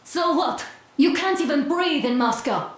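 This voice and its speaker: A woman's voice: high-pitched, expressive, unhurried, heavy reverb. A woman acting a defiant, idealistic young soldier in a video game, direct and challenging. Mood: defiant, hopeful.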